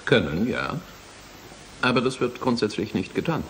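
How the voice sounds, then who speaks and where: A man's voice: mid-range voice, big pitch swings, normal speed, slight background noise, close to an everyday voice, rough recording. An actor playing a formal, guarded male institute president in a radio play, explaining carefully and hesitantly. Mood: guarded, explanatory. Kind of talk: German radio play acting.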